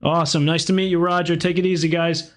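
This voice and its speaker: A man's voice: a little high, little pitch movement, fast, dry recording, clean studio sound. A man hosting a casual interview podcast, friendly and talking with restarts. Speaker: podcast host.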